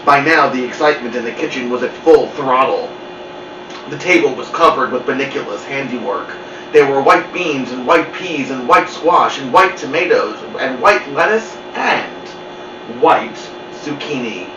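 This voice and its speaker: A man reading a children's story aloud with expressive, dramatic narration. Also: medium pitch, normal speed, music in the background. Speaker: host, storybook reader. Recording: muffled, roomy, distant mic with noise.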